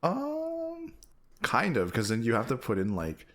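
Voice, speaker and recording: A man's voice: mid-range voice, wildly swooping pitch, a little slow, a bit bright. A man co-hosting a gaming podcast in casual chat among friends.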